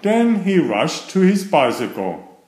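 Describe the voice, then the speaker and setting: A man reading a children's book aloud as narrator, with expressive, deliberate phrasing. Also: sits high. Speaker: storybook reader. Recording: roomy, echoing sound.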